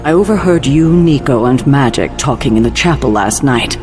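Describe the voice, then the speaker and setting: An actor playing a stern, protective male leader in a video game, crisp, formal and easily indignant. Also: mid-range voice, lively intonation, normal speed, over music, close to an everyday voice. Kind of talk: video game cutscene acting.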